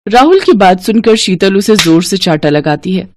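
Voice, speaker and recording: A woman's voice: medium pitch, expressive, moderate pace, a little mellow, no echo, clean studio sound. A woman reading scripted narration as the storyteller of a dramatized tale.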